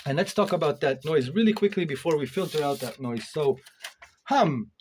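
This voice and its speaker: Guy with a kinda high voice doing a laid back gear review, just thinking aloud as he goes. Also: lively intonation, moderate pace, slight background noise. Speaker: reviewer of modular synth gear. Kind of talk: casual hands-on gear review talk.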